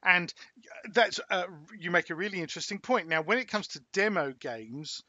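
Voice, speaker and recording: An older man hosts a casual podcast chat, his voice pitched high and very bright. He talks with eager enthusiasm and frequent restarts. The sound is dry and a little dull, like a home podcast setup. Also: expressive, moderate pace, no room sound. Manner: expansive, enthusiastic, many restarts. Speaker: podcast host.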